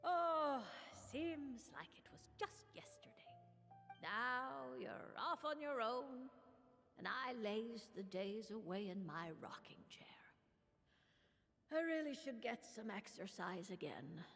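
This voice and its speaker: Old witch mentor: slow, sly, wistful narration for a game, in long reverb. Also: fairly high pitch, animated, gravelly, a bit bright, heavily acted. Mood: wistful, teasing. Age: older. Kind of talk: scripted letter narration in a video game. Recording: long echoing reverb, music behind.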